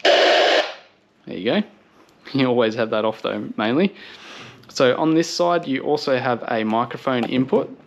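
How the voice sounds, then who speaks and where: Aussie guy doing a casual gear review, upbeat and approving, normal mid pitched voice. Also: expressive, a little slow, creaky, long pauses. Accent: Australian. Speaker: product reviewer. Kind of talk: casual product review talk to camera.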